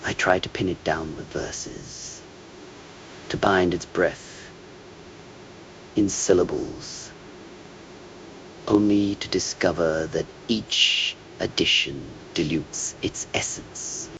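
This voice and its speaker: A man delivering a scripted meditative monologue in a measured, contemplative way, with hushed phrase endings that trail toward a whisper. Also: sits low, slight background noise, rough recording.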